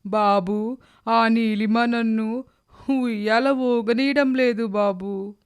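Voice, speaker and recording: A plaintive old lady, acted by a woman in a cartoon moral tale. She complains like a child, slowly, in a clear, fairly high voice. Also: steady tone. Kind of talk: children's animated moral story.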